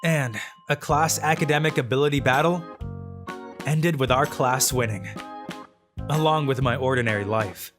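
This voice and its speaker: Understated genius schoolboy narrating plainly, mid pitch, music underneath, voiced by an actor. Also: moderate pace, natural voice. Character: young male student, secretly brilliant, low-key. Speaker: narrator and lead of a manga dub. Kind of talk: first-person narration of a dubbed manga.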